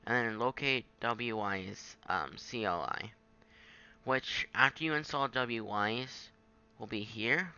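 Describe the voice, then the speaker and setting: A man giving an informal, halting software tutorial, thinking aloud as he goes. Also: on the low side, vocal fry, clear, resonant tone, dull audio. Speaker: tutorial presenter. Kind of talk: casual screen-capture software tutorial.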